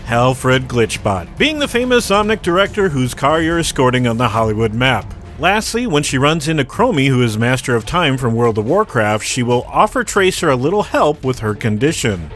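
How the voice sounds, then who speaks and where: A man's voice: medium pitch, very animated, moderate pace, few pauses, a bit bright. A man reading scripted video commentary as a narrator. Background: background music.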